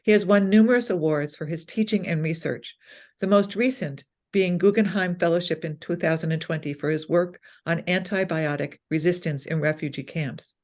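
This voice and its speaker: A woman's voice: fairly low pitch, moderate pace, darkish tone. A woman host introducing a guest author and asking interview questions in a friendly manner.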